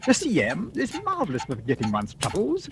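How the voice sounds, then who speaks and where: An actor playing a stuffy, evasive young English lord who stammers, in 1940s radio acting. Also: male voice, a little high, wildly swooping pitch, a little fast, gravelly, full character voice. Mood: awkward. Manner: stammering, hesitant. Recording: hissy old radio broadcast.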